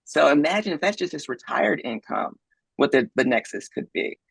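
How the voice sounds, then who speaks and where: Gravelly, husky-voiced man, dry sound. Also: on the high side, sing-song, moderate pace, fairly bright tone, no echo, rough recording. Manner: conversational, often ends points with "right?". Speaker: podcast guest, investor. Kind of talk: conversational interview.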